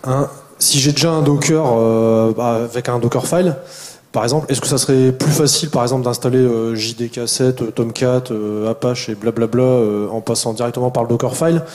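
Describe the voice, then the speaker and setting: Male audience member, mid-pitched, echoing in a big hall, asking a question haltingly. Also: steady tone, a little mellow. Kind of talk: spontaneous question. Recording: long hall reverb.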